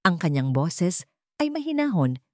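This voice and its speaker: A woman's voice: on the low side, sing-song, a little slow, warm tone, no room sound, studio quality. A woman reading a scripted love story as a dramatic narrator.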